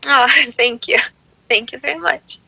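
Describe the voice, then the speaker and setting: A woman's voice: high-pitched, a little slow, gravelly, pushed and bright, breathy edge, dry recording, rough recording. A woman from Russia, a singer, chatting in a friendly, easy way in an interview and laughing often. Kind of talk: casual interview chat.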